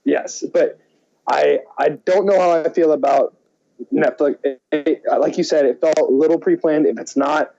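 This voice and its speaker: A man's voice: a little high, normal speed, gravelly, mellow. A man chatting casually as a podcast co-host, rambling and often restarting his sentences. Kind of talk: casual chat. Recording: dull lo-fi audio.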